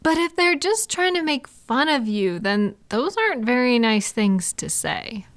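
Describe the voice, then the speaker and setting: A woman playing a supportive, gentle female friend in an intimate, casual comfort roleplay, speaking in a reassuring, validating way. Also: a little high, very animated, measured pace, no echo. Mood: sympathetic. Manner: reassuring, validating, softly indignant on listener's behalf. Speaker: audio roleplay performer.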